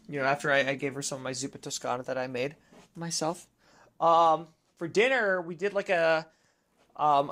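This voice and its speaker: A woman gaming streamer with a deep voice for a woman, chatting casually and rambling with lots of fillers while she plays. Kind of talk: casual chat while playing.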